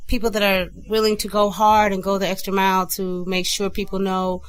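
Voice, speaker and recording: A woman from Michigan talks casually as a guest on a radio show, with a mid-pitched, everyday voice. She tells stories in an animated way and slips into quoted voices. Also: a little fast, clear, resonant tone. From Flint, Michigan. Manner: animated storyteller, acts out quoted dialogue. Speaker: guest singer. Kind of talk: casual interview chat.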